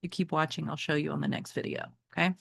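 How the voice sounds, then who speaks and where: Low-voiced woman teacher reading aloud, dry sound. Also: fast, gravelly, slightly rough, no room sound. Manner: expressive, addresses listeners with check-in questions. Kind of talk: expressive children's novel read-aloud with teacherly asides.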